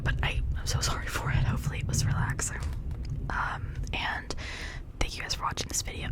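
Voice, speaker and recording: A woman whispering breathily in a casual, rambling, chatty ASMR style, talking informally to her viewers. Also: poor audio. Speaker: ASMR video creator.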